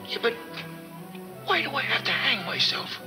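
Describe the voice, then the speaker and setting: An American actor playing a frightened, slangy gangster in a 1940s film serial, snivelling and stammering. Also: male voice, very high voice, very animated, moderate pace, heavily acted. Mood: nervous, hushed. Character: frightened, slangy male gangster under arrest. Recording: echoey old film soundtrack with music.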